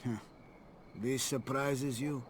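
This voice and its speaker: A man's voice: mid-range voice, level tone, slow, slight background noise, lightly acted. An actor playing a weary, moralizing male doctor to criminals, civil and grave, in a game cutscene. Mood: grave reproach. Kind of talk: video game cutscene acting. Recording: faint background ambience.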